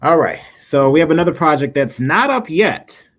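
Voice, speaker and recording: A man's voice: fairly high pitch, animated, moderate pace, fairly bright tone. A man hosting a casual livestream interview, talking informally with his guests. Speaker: podcast host and interviewer. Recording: noticeable background noise.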